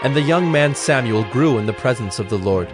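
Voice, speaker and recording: A man's voice: medium pitch, lively intonation, a little fast. A man giving scripted narration of scripture as the narrator of a dramatized reading. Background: background music.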